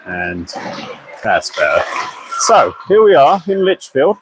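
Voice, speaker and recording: A British man with a croaky voice from a cold, chatting and then commentating live on an amateur ice hockey game from a small media box. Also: a little high, slow pace, noisy background. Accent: British English. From Britain. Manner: chatty and apologetic, excitable on goals.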